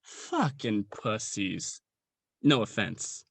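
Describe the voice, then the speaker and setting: A young man acting in a comic radio play as a gruff, jaded old police sergeant, crude and rambling in his slangy talk. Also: on the low side, big pitch swings, drawn-out words, no room sound, full character voice. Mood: gruff, dismissive. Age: young adult. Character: gruff, jaded veteran male police sergeant near retirement.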